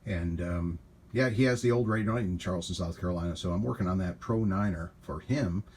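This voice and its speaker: A man's voice: sits low, a little fast, a little mellow, breathy edge. A middle-aged man hosting a repair video, talking in a casual, informal and admiring way as he demonstrates at the bench. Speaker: host of a radio repair channel. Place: small indoor home workshop. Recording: noisy room ambience on camera mic.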